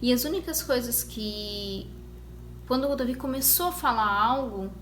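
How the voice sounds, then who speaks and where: A young woman telling her story as a mother in a casual vlog, talking in a conversational way with many pauses and restarts. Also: a little high, a bit bright. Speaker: mother sharing her experience. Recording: echoey room with faint noise.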